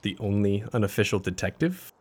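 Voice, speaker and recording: An actor playing a loyal, concerned army doctor in a Victorian mystery audio drama, with an earnest, formal way of speaking. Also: male voice, lowish voice, expressive, a little fast, darkish tone.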